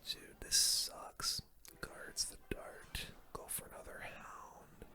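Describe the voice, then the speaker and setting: Someone whispering game commentary asmr style, crisp bright hiss, casual gamer slang. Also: takes it slowly. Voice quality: soft breathy unvoiced whisper. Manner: casual slangy gamer talk, reacting to play. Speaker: gaming video host.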